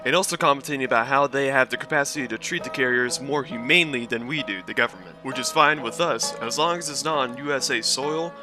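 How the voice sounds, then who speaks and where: A man's voice: mid-range voice, fast talker, hardly any pauses, music in the background. A man hosting a casual commentary recap, talking in a rambling, hesitant and self-deprecating way. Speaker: video host and reviewer.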